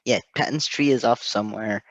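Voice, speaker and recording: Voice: medium pitch, fairly quick, dry recording, muffled recording. A co-host chatting casually in a giggly, agreeable way while reacting to a story. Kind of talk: casual chat.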